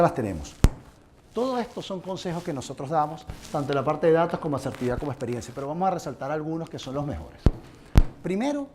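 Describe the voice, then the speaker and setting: Seminar presenter, male, from Venezuela; slightly raised pitch, earnest advisory delivery over a noisy room recording. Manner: earnest, advising, addresses the audience directly. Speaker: conference presenter. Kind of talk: live seminar talk with audience questions.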